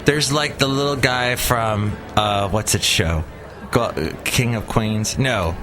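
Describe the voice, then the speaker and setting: A man's voice: mid-range voice, slow pace, music in the background. A man hosting a podcast, chatting casually and joking playfully with his co-host. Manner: playful, jokey, lively. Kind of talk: casual chat.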